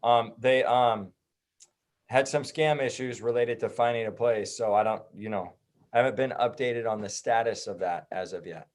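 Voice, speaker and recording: A man's voice: mid-range voice, normal speed. Easygoing American man chatting casually. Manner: loose, joking, folksy. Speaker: guest, activist group organizer. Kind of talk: casual chat.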